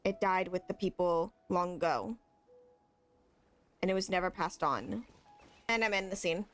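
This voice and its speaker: A woman's voice: lowish voice, unhurried. A woman gameplay streamer talking casually as she plays. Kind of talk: casual gaming chat. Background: faint game music.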